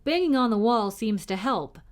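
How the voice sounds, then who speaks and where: A woman's voice: a little high, expressive, normal speed, voice pushed up, natural voice. A woman voicing a guarded, moody young schoolboy in a storybook reading, with a reluctant manner. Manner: muttering, reluctant.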